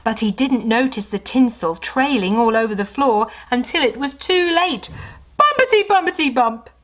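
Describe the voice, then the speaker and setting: A woman narrating a children's storybook in an animated style, with chatty asides to young listeners. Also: fairly high pitch, normal speed.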